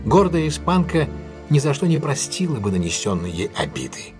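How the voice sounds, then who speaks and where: An actor playing a shrewd detective in an audio drama, laying out a case in a measured, articulate way with deliberate pauses. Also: male voice, medium pitch, big pitch swings, soft background music, lightly acted. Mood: analytical, assured. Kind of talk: audio drama acting, expository monologue.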